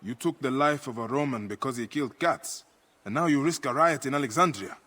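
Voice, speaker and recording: An actor playing a weary, honourable Egyptian warrior in a video game, speaking in a solemn, deliberate way. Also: male voice, medium pitch, a bit bright, husky, no echo. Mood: matter-of-fact. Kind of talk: dramatic video game acting.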